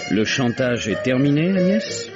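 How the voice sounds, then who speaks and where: A man's voice: mid-range voice, big pitch swings, unhurried. An actor playing a stern, defiant man in a vintage French radio drama, speaking in firm, clipped phrases. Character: curt, defiant man resisting a scheming admirer. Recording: dull vintage radio recording with music.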